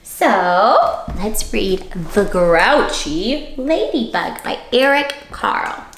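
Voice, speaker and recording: A woman reading a picture book aloud to young children, animated and coaxing, pausing to ask them simple questions. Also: on the high side, roomy sound, a little hiss or hum. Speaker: children's storytime reader.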